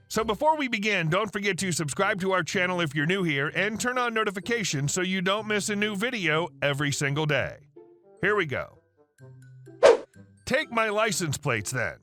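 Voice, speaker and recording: Guy narrating reddit stories, high bright voice, soft music in the back. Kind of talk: scripted story narration.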